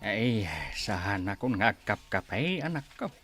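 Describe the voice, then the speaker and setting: A man's voice: lowish voice, big pitch swings, slow, over music, heavily acted. A man playing a concerned older father with a Filipino accent in a radio drama, exclaiming and questioning. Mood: concerned, surprised. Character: concerned old father asking after his drinking son.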